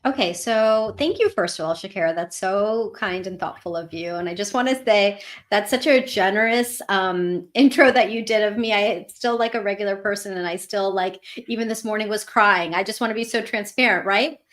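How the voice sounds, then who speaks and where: A woman from Northern Virginia joins a live online interview as a guest coach. She speaks earnestly and candidly, her thoughts flowing on at a mid pitch for a woman. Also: moderate pace, few pauses.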